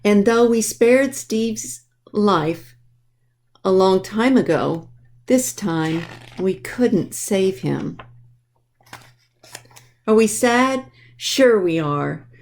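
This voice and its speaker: A woman's voice: mid-range voice, animated, drawn-out words, pauses often, a little mellow. A woman presenting a children's library storytime, explaining things simply and addressing young listeners directly as she reads aloud. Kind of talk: reading a picture book aloud to children.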